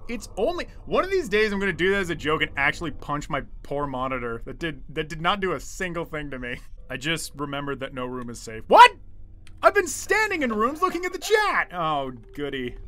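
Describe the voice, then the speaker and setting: Animated, bright-voiced young man streaming horror. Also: a little high, fairly quick. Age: young adult. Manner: jokey, excitable, crude swearing. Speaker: game streamer. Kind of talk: livestream horror game commentary, chatting to viewers. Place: small dim room indoors at home. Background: horror game music.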